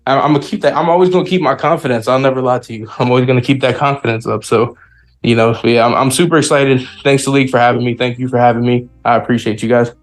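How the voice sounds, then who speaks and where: A man's voice: medium pitch, steady tone, fast talker. A man from the United States chatting casually as a podcast guest, cocky and joking, often repeating himself. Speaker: podcast guest, sim league player. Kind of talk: casual chat.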